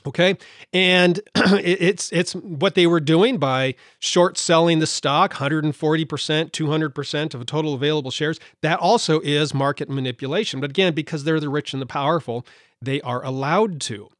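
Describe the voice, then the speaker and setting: A man's voice: fairly high pitch, moderate pace, a bit bright, no echo, clean studio sound. A man hosting a podcast, talking indignantly with many fillers and restarts, his voice slightly worn. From United States. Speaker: podcast host. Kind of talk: solo podcast commentary.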